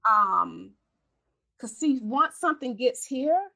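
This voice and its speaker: A woman's voice: sits high, big pitch swings, slow, vocal fry, bright, forceful tone. A woman in her forties hosting a podcast, talking candidly in a chatty way and correcting herself as she goes. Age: 40s. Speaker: podcast host. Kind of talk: casual conversation.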